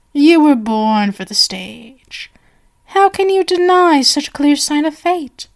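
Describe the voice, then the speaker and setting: A woman's voice: high voice, full character voice. A woman playing a genial but sinister stage magician, possessive and doting, with flowery, coaxing endearments in an ASMR roleplay. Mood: grandly delighted.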